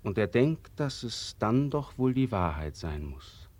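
An actor playing the solemn, bitter narrator of a 1940s radio play, speaking gravely and with deliberate emphasis. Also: male voice, on the low side, big pitch swings, darkish tone. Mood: bitter, measured. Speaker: prologue narrator.

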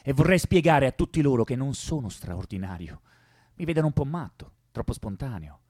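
Young male revolutionary, idealistic, fervent, theatrical radio play, slightly husky, lively pitch. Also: brisk, dry recording, studio quality. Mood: fervent, pleading. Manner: impulsive, fervent, earnest. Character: idealistic, passionate young male revolutionary who loves life. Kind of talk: theatrical radio drama acting.

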